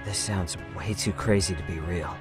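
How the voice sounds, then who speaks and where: A man's voice: sits low, fairly even intonation, normal speed, music in the background, close to an everyday voice. An actor playing a casual, blunt young man, an irreverent sidekick in a video game drama. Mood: curious.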